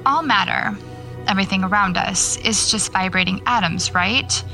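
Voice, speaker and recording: A woman's voice: medium pitch, moderate pace, sharp tone, faint music behind, poor audio. An actress playing a dry, sardonic, preoccupied woman novelist in an audio drama. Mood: intent. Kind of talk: naturalistic audio drama dialogue.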